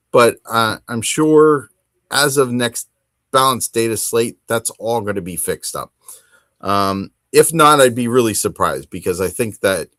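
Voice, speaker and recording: A man's voice: medium pitch, fairly bright tone, no room sound, clean studio sound. A man hosting a hobby show solo, talking in a casual, conversational way and rambling a little as he thinks aloud. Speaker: host of a tabletop wargaming hobby show.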